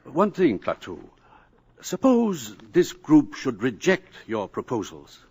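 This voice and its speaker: An eager, curious older professor, with a slightly husky voice that rises and falls widely. He hesitates with fillers, in the manner of 1950s radio acting. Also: slow pace, heavily acted. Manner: hesitant, fumbling fillers, lively pitch.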